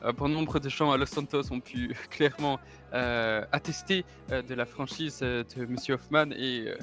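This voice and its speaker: A courteous German-accented real estate agent in his thirties, fond of fine cars and nightclubs, as a man plays him. He talks formally, pausing often and slipping in German exclamations. His pitch sits in an ordinary middle range, with soft music faintly underneath. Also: steady tone, lightly acted. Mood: chatty, courteous. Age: 30s. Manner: formal, hesitant, drops German interjections. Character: 33-year-old German man, suave real estate agent and party lover.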